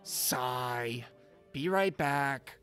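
A man's voice: medium pitch, very slow, music in the background. A man playing a laid-back young stoner video-store clerk who drawls in slacker slang in an audio drama.

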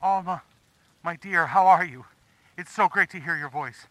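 A man playing a smooth-talking, quietly threatening schemer in an audio drama, measured and condescending. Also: high voice, no echo, low-quality recording. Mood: genial.

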